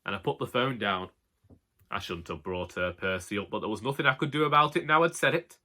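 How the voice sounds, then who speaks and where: A man's voice: on the low side, brisk. A man voicing a wry, long-suffering elderly husband with a Northern English accent in a colloquial comic audiobook monologue. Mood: grumbling, wry. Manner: colloquial, wry, deadpan grumbling. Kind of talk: first-person comic monologue, audiobook narration.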